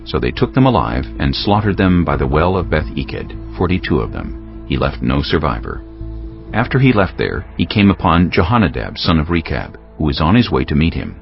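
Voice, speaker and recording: Male audiobook narrator, extremely deep, expressive intonation, scripted scripture reading, slightly muffled, music bed. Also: normal speed, gravelly. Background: background music.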